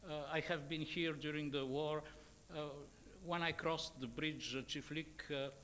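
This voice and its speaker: An older man, in his sixties, with a Bulgarian accent and a slightly high voice. He speaks unscripted at a conference panel, hesitating often with long fillers and repeated words. There is some background noise on the recording. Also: steady tone, a little slow, a bit bright. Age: 60s. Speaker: journalist and editor, conference panelist.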